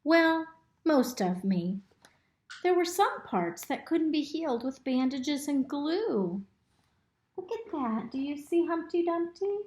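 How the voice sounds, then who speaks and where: A woman reading a picture book aloud to young children in an expressive, instructive way, pausing to ask them simple questions. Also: high voice. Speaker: storybook reader. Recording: roomy, echoey indoor recording.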